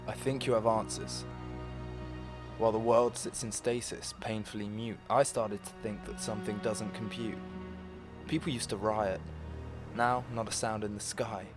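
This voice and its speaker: Male actor as troubled mortal in a poetic drama: lowish pitch, earnest, measured rhyming verse over music. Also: fairly even intonation, close to an everyday voice. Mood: troubled, searching. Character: troubled, earnest mortal man questioning Death. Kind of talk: rhymed poetic monologue.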